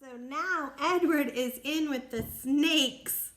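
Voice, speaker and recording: A woman's voice: high voice, slow pace, fairly bright tone. A woman teacher explaining a story to young children and putting questions to them.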